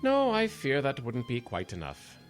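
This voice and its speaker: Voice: mid-range voice, huge pitch swings, moderate pace, soft background music. A performer playing a pompous, doting, eccentric self-styled king in a comic radio drama, with theatrical, imperious delivery. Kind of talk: radio comedy audio drama acting.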